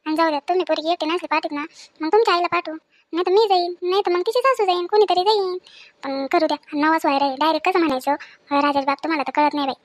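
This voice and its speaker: A woman acts out a village daughter-in-law with a very high voice and a rapid tongue. She complains and scolds in a colloquial rural Maharashtrian accent, full of household grievances, as in a family drama story. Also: dry recording. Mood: persuading. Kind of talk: acted family story dialogue.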